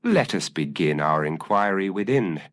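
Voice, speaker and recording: A man's voice: deep voice, expressive, moderate pace, a bit bright, dry recording, clean studio sound. A man voicing a brilliant, intense Victorian detective in an audiobook, speaking in a precise, commanding and formal way. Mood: measured.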